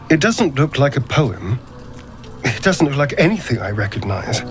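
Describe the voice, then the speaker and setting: An actor playing a guarded, centuries-old male vampire in a game, theatrical and sarcastic, faltering when vulnerable. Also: mid-range voice. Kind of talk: dramatic video game acting.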